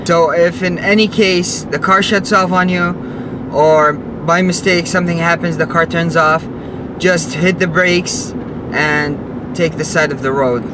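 A young man with a slightly high voice talks casually, explaining each step as he drives. He's recorded from a dashboard camera inside a car, with clear road and engine noise behind him. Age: young adult. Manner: chatty, explaining step by step while driving. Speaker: car channel host. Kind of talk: casual vlog narration. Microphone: camera mounted on the dashboard.

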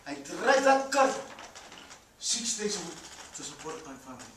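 A man's voice: sits high, sing-song, normal speed, a bit bright, slight background noise. A man on stage playing a resentful, irritable immigrant landowner, curt and indignant, with a foreign accent. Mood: impatient. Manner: curt, indignant, clipped phrases. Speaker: stage actor. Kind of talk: live stage comedy-drama acting. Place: indoor theatre stage. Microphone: distant audience camera. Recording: echoey theatre stage from far off.

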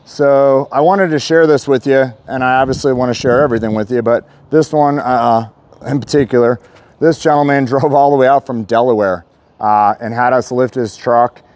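A man's voice: medium pitch, steady tone, normal speed, darkish tone, no room sound. A middle-aged American man speaking casually and explaining things as he presents a vehicle walkaround outdoors. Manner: conversational, explanatory. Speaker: truck shop presenter. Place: outdoors in a paved loading yard.

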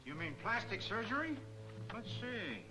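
An eager male police sidekick in a 1940s film serial. His voice is high and his talk colloquial, with music clearly behind on the old soundtrack. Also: very animated, measured pace, poor audio.

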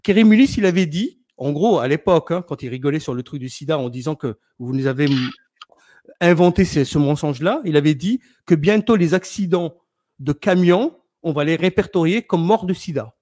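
A man's voice: fairly high pitch, brisk, darkish tone. A man hosting a live stream, talking in an insistent, argumentative way. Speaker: livestream host. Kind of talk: casual chat on a live stream.

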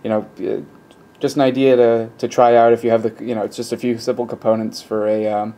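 A man's voice: medium pitch, a little fast. A man giving a casual technical explanation, thinking aloud in a hesitant, rambling way at a workbench. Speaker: host of an electronics hobby channel. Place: indoor electronics workbench. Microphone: camera mic behind the lens. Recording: steady static noise, handheld camera mic. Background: radio static from a speaker.

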